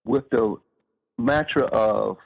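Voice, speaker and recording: A man's voice: medium pitch, slow pace, gravelly. A man being interviewed as an author, talking casually and earnestly and searching for his words, on slightly dull audio.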